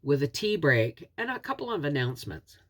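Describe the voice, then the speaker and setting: A woman's voice: deep voice, big pitch swings, a little fast, over loud music. A middle-aged woman with a head cold and a scratchy throat, a homesteading host chatting earnestly to camera. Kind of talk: casual talk to camera.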